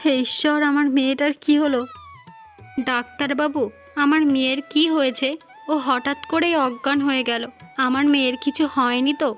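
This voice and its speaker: A woman's voice: sits high, steady tone, normal speed, soft background music, lightly acted. A woman playing a proud, protective middle-aged mother in a melodramatic cartoon, with an emphatic way of talking that repeats words. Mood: worried.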